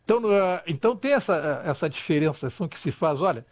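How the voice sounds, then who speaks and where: A man's voice: sits high, expressive, fast talker, no room sound. An older man from Brazil, a guest talking in a rambling way, restarting often and adding "tá?" tags. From Rio Grande do Sul, Brazil. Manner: rambling, lots of restarts and "tá?" tag questions. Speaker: guest expert. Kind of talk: conversational interview. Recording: dull lo-fi dry sound.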